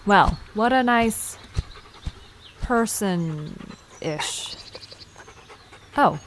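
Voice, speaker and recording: A woman playing a curious, friendly young park visitor in an audio drama, inquisitive and expressive in a conversational way. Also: medium pitch, very slow, a little hiss or hum.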